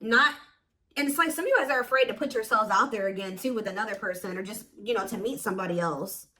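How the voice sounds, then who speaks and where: A woman's voice: medium pitch, fast talker, pushed and bright, a little hiss or hum. A woman giving a casual, intuitive tarot reading to viewers, talking in an emphatic, conversational way and repeating herself for emphasis. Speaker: tarot reader, host.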